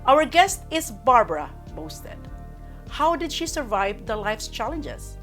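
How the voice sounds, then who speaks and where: A woman's voice: on the high side, sing-song, slow pace, a bit bright, faint music behind. Woman hosting an interview. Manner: formal, announcer-like introductions with slips. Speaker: interview show host.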